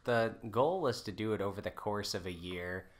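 A man's voice: fairly low pitch, normal speed. A man in his twenties, a podcast guest, chatting casually and telling stories in a jokey, conversational way. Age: 20s. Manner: chatty, jokey, quotes himself and others. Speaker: podcast guest, folk musician.

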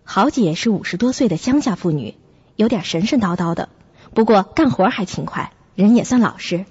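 Radio drama lead: reflective young web editor narrating uneasily actress, very varied melody, slightly dull recording. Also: female voice, medium pitch, animated, moderate pace, darkish tone, slightly rough, dry recording. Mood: reflective, uneasy. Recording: dull lo-fi audio. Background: music.

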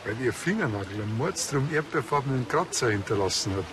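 A man's voice: medium pitch, animated, fast, background noise, close to an everyday voice. An actor playing a naive, grumbling village policeman with a Bavarian accent in a television comedy drama. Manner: colloquial, grumbling.

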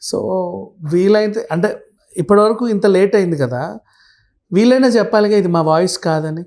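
A man's voice: high voice, moderate pace, a little mellow. A man giving a blunt, conversational explanation as a commentator, often checking that the listener follows. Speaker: commentator guest. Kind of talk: animated conversational explanation with acted quotes.

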